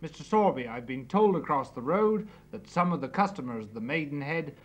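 A man reporting for an old 1960s newsreel, his voice sitting a touch high. He narrates and then asks brisk, probing questions. The film soundtrack has an aged sound. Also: animated. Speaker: news reporter.

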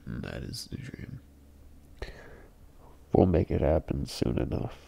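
A man playing a tired, affectionate long-distance boyfriend at bedtime in an intimate ASMR roleplay, murmuring sleepily and haltingly. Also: very deep voice, sing-song, gravelly, dark tone, heavily acted. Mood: affectionate, drowsy. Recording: faint background noise.

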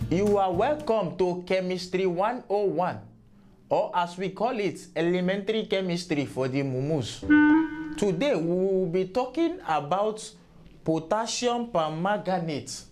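A man acts out a comic chemistry professor with a high-pitched Nigerian voice. He lectures with mock formality in a web sketch, spelling things out for people he plainly considers fools. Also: expressive, unhurried, music in the background. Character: mock chemistry professor, male, dry, scornful of gullible fools.

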